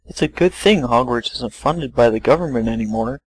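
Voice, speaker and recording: Man playing prim schoolgirl know-it-all, mid-range male pitch, naive reasoning, parody audio story. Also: moderate pace, slightly rough, no room sound, lightly acted, poor audio. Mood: prim, insistent. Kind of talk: amateur dramatic reading of parody fan fiction.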